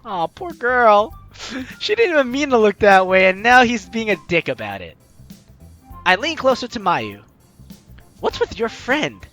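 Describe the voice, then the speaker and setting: A playful, slangy gaming host reading a visual novel aloud and reacting in an animated way. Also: medium pitch, unhurried. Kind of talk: lively let's play, reading aloud and reacting. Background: game music.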